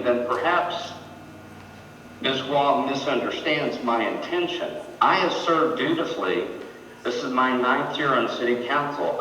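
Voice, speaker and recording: A man on the city council argues his case with a bright, forceful edge and a pitch that swings up and down. He sounds combative and defensive. He is picked up from a distance in a reverberant meeting chamber with a faint hum of room noise. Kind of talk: heated public meeting remarks. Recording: echoey chamber mic from a distance.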